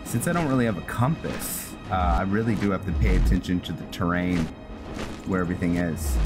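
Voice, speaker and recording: A man giving casual game commentary as he plays, thinking aloud in a halting way. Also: deep voice. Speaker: gaming video host. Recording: loud game music behind the voice. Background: loud video game music.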